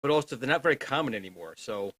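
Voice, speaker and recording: A man co-hosting a retro gaming livestream, chatting in an informal, animated way. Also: medium pitch, quick pace, no room sound. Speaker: co-host of a retro gaming show. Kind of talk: casual livestream chat.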